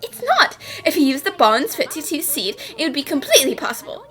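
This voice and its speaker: A woman voices a young lady detective in a cartoon dub. She is high-pitched and swings expressively through her phrases, polite yet thoroughly sure of herself, with music playing underneath. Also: sing-song, fairly quick, fairly bright tone. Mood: confident explaining. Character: confident, spirited young female detective solving mysteries. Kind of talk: cartoon fan dub acting.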